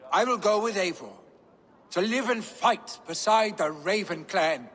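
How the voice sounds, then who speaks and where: A man's voice: sits high, animated, unhurried, a bit bright, full character voice. An actor playing a proud, restless young Viking warrior man in a video game, speaking earnestly and with some grandeur. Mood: solemn, proud. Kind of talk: dramatic video game acting. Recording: long hall reverb.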